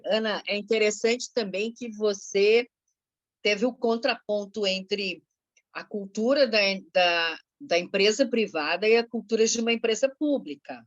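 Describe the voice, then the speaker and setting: A woman's voice: medium pitch, a little slow, no echo. A woman moderates an online panel, drawing the guests out with eager questions. Her mid-range voice comes through a dull, lo-fi line, and the sound is dry. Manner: engaged, prompting questions.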